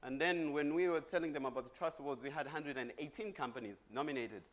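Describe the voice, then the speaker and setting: A man's voice: on the high side, quick pace. A middle-aged man from Zambia giving a chatty conference presentation on stage, joking with the audience. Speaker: conference speaker.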